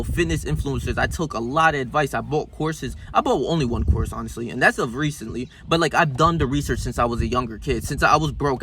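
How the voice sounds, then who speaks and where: A man in his twenties telling a story as a casual rant, animated and profane, full of slang and fillers. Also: on the high side, slight background noise. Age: 20s. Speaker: vlogger.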